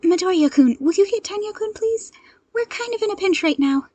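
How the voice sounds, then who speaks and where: A woman's voice: very high voice, animated, a little fast, darkish tone, no echo, pitched above the performer's own voice. A woman voicing an urgent young female superhero in a fan audio story, panicked but determined, with breathless field reports.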